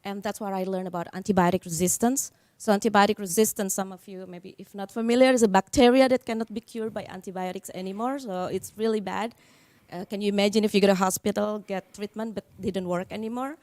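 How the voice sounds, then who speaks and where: A woman's voice: medium pitch, fairly even intonation, fast, a little mellow, dry recording. A woman from Indonesia in her thirties, a startup founder on a panel, explaining plainly with fillers. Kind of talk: panel talk. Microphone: handheld.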